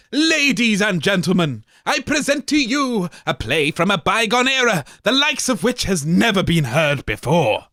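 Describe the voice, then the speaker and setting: A man's voice: high-pitched, pushed and bright, no echo, clean studio sound. A man co-hosting a comedy radio show, telling a tale in a hammy, grandiose way. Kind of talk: comic hammy storytelling.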